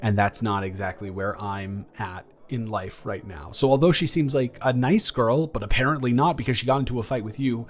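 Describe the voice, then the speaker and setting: A young man playing a flustered, awkwardly tender ex-boyfriend in an improvised romantic audio roleplay, halting and stammering as he rambles and corrects himself. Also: mid-range voice, close to an everyday voice. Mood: earnest, awkward. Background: background music.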